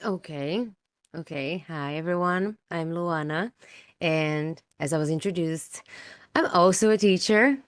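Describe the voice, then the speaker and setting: A woman whose first language is Portuguese talks through her ideas in a relaxed interview, pausing to think and laughing lightly between points. Her voice sits lower than most women's. The sound is close and dry, with almost no room echo. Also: clear, resonant tone. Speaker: teacher, podcast guest. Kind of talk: casual interview conversation.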